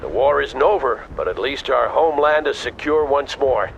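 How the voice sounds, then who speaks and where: Gravelly, animated army colonel. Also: male voice, mid-range voice, moderate pace, slight background noise, poor audio. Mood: relieved, proud. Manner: authoritative, clipped. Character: commanding, stern middle-aged army colonel. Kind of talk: dramatic military game cutscene. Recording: music behind the voice.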